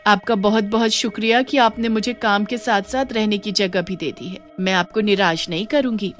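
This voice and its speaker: A woman's voice: medium pitch, steady tone, normal speed, close to an everyday voice. A woman playing a meek, polite village widow in a horror story, with a pleading, deferential manner. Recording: music under the voice.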